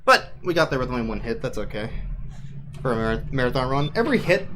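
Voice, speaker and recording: A man's voice: mid-range voice, very animated, fast talker, noisy background. A man giving casual, explanatory live commentary as he plays through a game, with a wry streak. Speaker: speedrunner. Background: game music.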